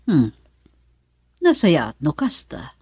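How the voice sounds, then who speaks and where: A woman's voice: deep voice, wildly swooping pitch, extremely slow, darkish tone, husky, no echo, studio quality, heavily acted. A woman playing a strict, hot-tempered middle-aged mother in an Ilocano radio drama, with an emotional, volatile delivery. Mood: calm questioning.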